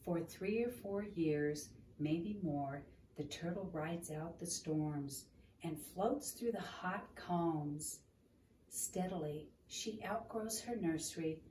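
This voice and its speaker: Slow-paced woman reading a children's story, roomy sound. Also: fairly low pitch. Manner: expressive, deliberate, asking the listener questions. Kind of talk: read-aloud storytelling for children. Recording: roomy, noisy, distant microphone.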